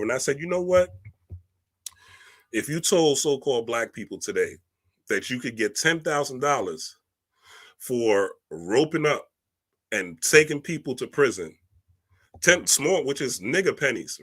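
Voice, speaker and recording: A man's voice: medium pitch, lively intonation, normal speed, fairly bright tone. A man giving a casual spiritual monologue, emphatic and preachy, using streetwise slang and checking in with "right?" often. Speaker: spiritual reader and speaker.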